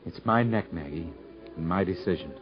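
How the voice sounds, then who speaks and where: A man playing a stubborn country convict with a low voice and folksy, plain-spoken delivery. It is 1950s radio drama acting, caught on a dull vintage broadcast recording. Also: moderate pace, faint music behind, natural voice. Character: stubborn, proud rural young husband convicted of murder.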